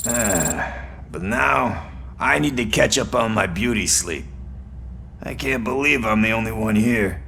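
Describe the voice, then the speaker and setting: A cocky, weary cop sidekick for a video game. The voice is creaky and bright, with wry, self-satisfied delivery and faint noise behind. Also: male voice, lowish voice, measured pace, heavily acted. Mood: weary, joking. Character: cocky, wisecracking but weary male rookie cop partner. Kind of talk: video game cutscene acting.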